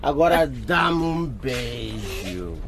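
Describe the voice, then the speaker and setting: An actor playing a controlling, menacing older boyfriend in a radio drama, wheedling and then domineering. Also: male voice, medium pitch, sing-song, very slow, a crowd in the background, exaggerated voice. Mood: smug, possessive.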